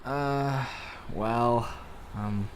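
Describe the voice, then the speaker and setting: An actor playing a troubled, drifting man in a radio drama, a wry and self-deprecating romantic with a halting delivery. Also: mid-range voice, steady tone, a little hiss or hum. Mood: wistful, resigned.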